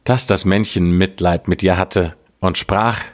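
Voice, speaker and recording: Low-voiced, slow male storyteller. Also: steady tone. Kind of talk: scripted fairy-tale narration.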